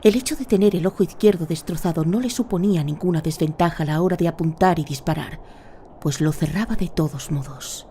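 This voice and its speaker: A woman's voice: on the low side, a little fast, mellow, a little hiss or hum. A woman narrating a fantasy audio drama in a scripted, dramatic storytelling style.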